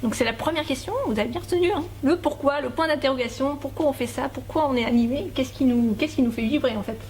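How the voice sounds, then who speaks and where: A woman's voice: high voice, quick pace, a little mellow, slight background noise. A woman hosting a casual interview, often answering with short agreeing sounds. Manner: frequent short agreeing replies. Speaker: interviewer, channel host. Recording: busy crowd behind.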